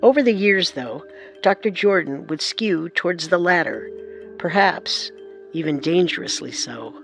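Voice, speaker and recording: A narrator delivering measured, deliberate scripted documentary narration. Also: mid-range voice, expressive, vocal fry, fairly bright tone, soft background music.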